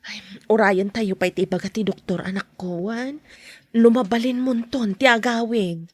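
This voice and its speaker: A woman's voice: on the high side, normal speed, no room sound, lightly acted. A woman playing a caring, money-worried wife and mother in a podcast drama. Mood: worried, soothing. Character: caring wife and mother, anxious about money, soft-spoken.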